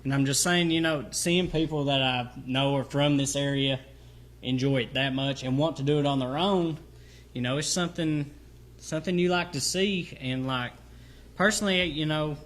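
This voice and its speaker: A man's voice: on the high side, moderate pace, a bit bright. A man, hosting a podcast and rambling as an eager wrestling fan, full of fillers. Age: 30s. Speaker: podcast host. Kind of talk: casual podcast chat. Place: small studio.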